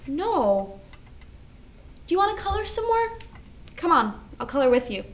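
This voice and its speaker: A woman's voice: extremely high pitch, very animated, unhurried, heavily acted. A woman playing an anxious young girl in a stage play reading, soothing and hopeful as an elder sister.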